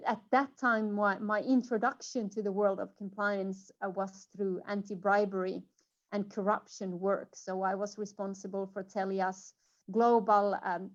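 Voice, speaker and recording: Woman from Finland, middle-aged, conference talk, hesitant with fillers, video call, muffled audio. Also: medium pitch. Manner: hesitant, many fillers and restarts. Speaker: conference speaker. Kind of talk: remote conference presentation.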